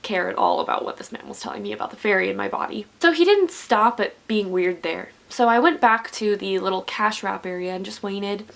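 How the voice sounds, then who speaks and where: A woman's voice: mid-range voice, brisk, gravelly, few pauses. A woman in her twenties telling a story in a rambling, chatty way while getting ready. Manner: rambling, chatty with many likes. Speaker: vlogger.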